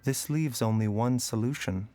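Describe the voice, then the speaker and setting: An actor playing a gentle, learned doctor in a video game, speaking in measured, eloquent musings. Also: male voice, mid-range voice, natural voice. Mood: solemn, reflective. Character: gentle, philosophical male doctor seeking immortality. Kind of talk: video game story dialogue. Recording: music behind the voice.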